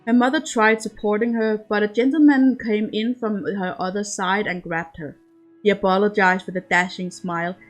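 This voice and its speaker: A woman's voice: mid-range voice, low-quality recording. A woman reading scripted fiction aloud as an amateur audiobook narrator.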